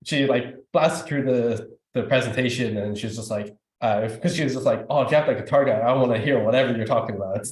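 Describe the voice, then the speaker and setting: Young Irish man, mid-pitch, loose rambling delivery, roomy echo. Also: a little fast, darkish tone. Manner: rambling, many fillers and restarts. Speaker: youth service speaker. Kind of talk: informal testimony sharing. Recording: echoey room.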